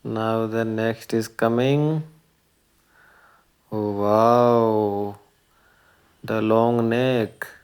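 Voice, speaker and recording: A man's voice: on the low side, fairly even intonation, drawn-out words, long pauses, a little mellow, clear, resonant tone. A man presenting a children's lesson in short, simple phrases, often prompting listeners with questions. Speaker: presenter of a children's learning video. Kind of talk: simple educational narration for young children, asking questions.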